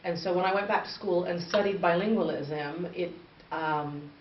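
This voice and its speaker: A woman's voice: lowish voice, moderate pace. A woman in her thirties from northern Canada, a bilingual education expert answering interview questions in an earnest, explanatory way. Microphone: far from the mic. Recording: distant, echoey, muffled with faint noise.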